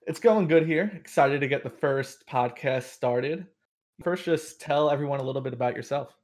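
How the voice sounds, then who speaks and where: A man's voice: mid-range voice, normal speed, a little mellow. A young man hosting a podcast, opening with a read intro and then asking casual questions. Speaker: podcast host. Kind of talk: podcast interview.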